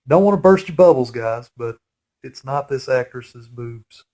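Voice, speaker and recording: A chatty man in his forties talks through a movie, his voice nasal and stuffy from a head cold. He rambles in a relaxed, conversational way and gets openly excited about what's on screen. His pitch sits right in the middle of the usual range for a man. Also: a little slow. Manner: rambling, chatty, geeky enthusiasm. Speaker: fan film commentator. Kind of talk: casual movie commentary.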